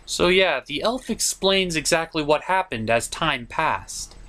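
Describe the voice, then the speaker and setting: Guy doing a funny movie review, sarcastic n exasperated, pitch all over the place. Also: animated, unhurried, pushed and bright. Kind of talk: comedic film review narration.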